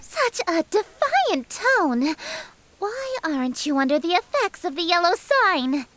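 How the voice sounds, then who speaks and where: A woman's voice: very high voice, very animated, slow, fairly bright tone, dry recording, full character voice. A woman playing a haughty, theatrical eldritch goddess of chaos in a visual novel game, grandiose, imperious and melodramatic. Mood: indignant, flustered. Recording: music behind the voice.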